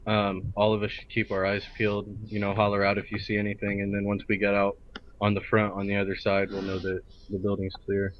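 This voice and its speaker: A man playing a role-play game over online voice chat, casually and deliberately talking through each move. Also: fairly low pitch, monotone, slight background noise. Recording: dull, lo-fi voice chat audio.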